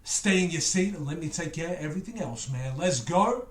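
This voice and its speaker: A man's voice: fairly high pitch, lively intonation, a little fast, roomy sound. Chatty Englishman with an Australian accent. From England. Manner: chatty, joking, rambling asides to viewers. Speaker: music reactor. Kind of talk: casual reaction video chat.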